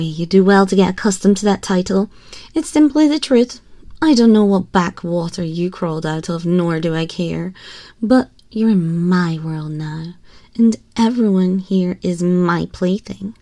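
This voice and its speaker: A woman's voice: medium pitch, dark tone, dry recording, lightly acted. A woman voicing a haughty, teasing, domineering young empress in an ASMR roleplay, condescending and often dropping toward a whisper. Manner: condescending, slow, breathy purring with whispers. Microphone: close binaural microphone.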